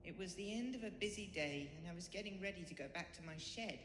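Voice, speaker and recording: A woman's voice: lowish voice, fast talker, natural voice. A woman voicing a grumpy young male tank engine in a cartoon. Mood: weary. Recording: echoey hall reverb, music behind.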